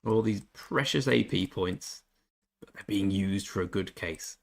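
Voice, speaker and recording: A man's voice: deep voice, unhurried, creaky. Chatty English man streaming a game. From England. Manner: chatty, self-deprecating, reads chat aloud. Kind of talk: casual live-stream chat.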